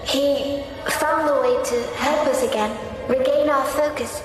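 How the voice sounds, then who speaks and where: An actress playing an earnest, gentle ghostly young sister in a dark fantasy game, speaking formally through an ethereal reverb. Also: female voice, sits high, fairly even intonation, unhurried. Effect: long ethereal reverb. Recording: heavy echoing reverb, music behind.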